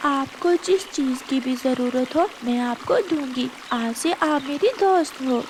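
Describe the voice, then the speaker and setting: A woman's voice: high-pitched, a little slow, noisy background. A woman voicing a kindly magical flower fairy who speaks reassuringly in a cartoon. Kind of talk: Hindi moral-story cartoon dialogue.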